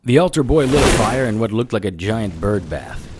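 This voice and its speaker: A man's voice: lowish voice, lively intonation, normal speed, heavy background noise, lightly acted. A man playing a terse, hard-boiled private investigator who narrates his own noir audio drama with grim intent. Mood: grim narration.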